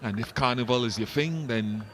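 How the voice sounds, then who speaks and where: A man giving relaxed, chatty live cricket commentary, explaining the game's finer points. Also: mid-range voice, normal speed, noisy background. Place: commentary box at a cricket ground.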